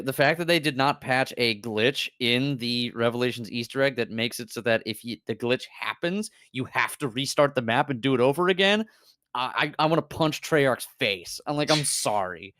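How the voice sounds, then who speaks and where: Smooth-voiced man, animated, swearing freely. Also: mid-range voice, moderate pace, no room sound, studio quality. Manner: animated, swearing, laughing, frequent fillers. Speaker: VTuber guest. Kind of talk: casual stream chat.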